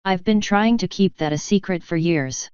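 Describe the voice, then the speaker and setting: A woman's voice: fairly low pitch, moderate pace, a little mellow, clean tone, dry recording, lo-fi sound, lightly acted. A woman voicing a curious, sarcastic student of magic from another world, blunt and casual, in an audiobook. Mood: baffled.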